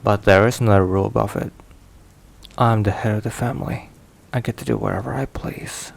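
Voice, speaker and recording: A man playing a weary, caring mafia boss boyfriend in an ASMR roleplay, hushed and sighing between phrases. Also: on the low side, fairly even intonation, a little fast, vocal fry. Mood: casually firm.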